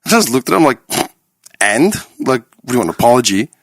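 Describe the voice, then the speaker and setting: A man's voice: medium pitch, very animated, quick pace, fairly bright tone, dry recording, clean studio sound. A man, co-hosting a casual podcast chat, talking emphatically and swearing often. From Australia. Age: late 30s. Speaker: podcast co-host.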